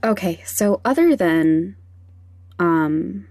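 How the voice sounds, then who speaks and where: A woman hosting a podcast, talking candidly and casually in a hesitant, halting, self-conscious way. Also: on the low side, expressive, darkish tone, no room sound, clean studio sound, soft background music. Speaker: podcast host.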